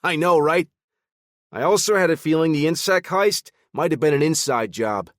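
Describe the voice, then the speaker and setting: Man voicing a chipper male hacker, animated, rambling, raised pitch. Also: moderate pace, no room sound, studio quality, lightly acted.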